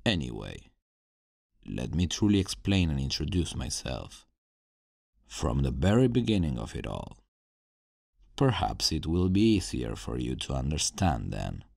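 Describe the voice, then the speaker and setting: A man playing a brooding, menacing yet regretful villain scientist in a dramatic ASMR roleplay, slow and deliberate with a gravelly voice. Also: sing-song, darkish tone, no echo, clean studio sound, heavily acted. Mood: calm, regretful. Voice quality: very deep, gravelly.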